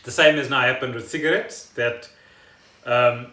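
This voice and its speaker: A man's voice: mid-range voice, fairly even intonation, normal speed, pushed and bright. A South African man co-hosting a casual podcast, explaining things in a wry, conversational way. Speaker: podcast co-host. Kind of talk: casual chat explaining news. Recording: roomy, echoey home recording.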